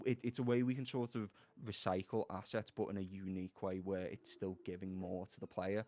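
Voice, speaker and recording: A man's voice: low-pitched, little pitch movement, fairly quick, warm tone. A man from Liverpool with a Scouse accent, an audio designer explaining game sound design in easygoing, loose phrases on a livestream. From Liverpool, England.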